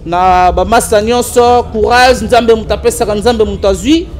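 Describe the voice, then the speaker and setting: A man with a Congolese accent, an animated and argumentative political commentator on a talk show. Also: sits high, normal speed, soft background music. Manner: animated, argumentative, mixes French and Lingala.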